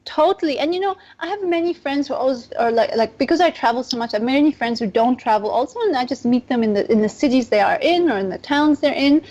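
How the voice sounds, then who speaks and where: A woman's voice: high-pitched, expressive, quick pace, few pauses, darkish tone, dull audio. A young woman from Nepal and Southeast Asia chatting as a podcast guest, explaining things in a rambling, conversational way. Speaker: interview guest, entrepreneur.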